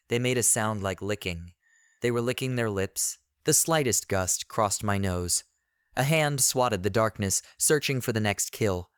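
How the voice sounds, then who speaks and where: A man narrates a first-person horror tale with deliberate, literary phrasing. His voice sits on the low side and is smooth and clear. The recording is dry and close, like a voice-over. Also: no echo.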